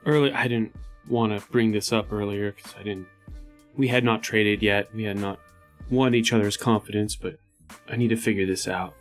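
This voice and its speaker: A man's voice: on the low side, steady tone, normal speed. A man playing a tabletop game, talking through his character's moves in a casual, conversational way. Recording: music behind. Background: background music.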